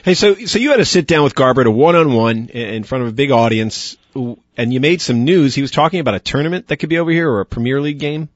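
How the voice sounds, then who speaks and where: Wry, fast-talking male radio host. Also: medium pitch, expressive, no echo. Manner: wry, opinionated. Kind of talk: radio talk show. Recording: dull lo-fi radio broadcast.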